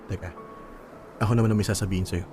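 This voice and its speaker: A man playing an earnest, devoted young boyfriend in a Tagalog radio drama, gentle and sincere, with a Filipino accent. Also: deep voice, fairly even intonation, fast, faint music behind, close to an everyday voice. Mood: tender, sincere.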